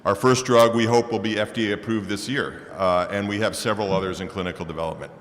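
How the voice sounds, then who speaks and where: A man, a biotech founder and CEO, giving brisk, matter-of-fact facts about his company on a panel. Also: on the low side, steady tone. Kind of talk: panel introduction. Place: conference hall. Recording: long hall reverb, faint room noise.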